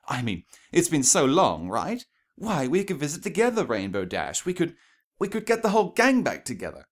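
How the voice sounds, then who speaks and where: A man's voice: a little high, wildly swooping pitch, normal speed, voice pushed up. A man voicing a genteel, gushing female cartoon pony in a storybook reading, refined and anxiously polite. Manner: refined, effusive, over-polite.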